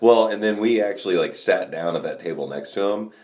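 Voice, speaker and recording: Deep-voiced loud young man chatting. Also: a little fast. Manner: loud, laughs often, swears casually. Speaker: podcast co-host. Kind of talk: casual chat.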